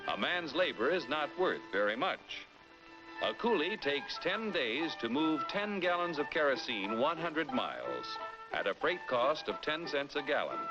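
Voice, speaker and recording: A man plays a polished, instructive American narrator from a vintage educational cartoon. His mid-pitched delivery is animated and persuasive, heard over loud music on a dull old film soundtrack. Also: lightly acted.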